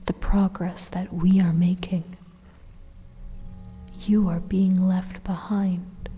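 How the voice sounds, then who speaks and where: A woman voicing a sinister, condescending AI supercomputer in a breathy, half-whispered ASMR monologue, slow, deliberate and menacing. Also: medium pitch, warm tone, slight background noise, natural voice. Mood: calm menace. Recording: reverberant hall-like echo.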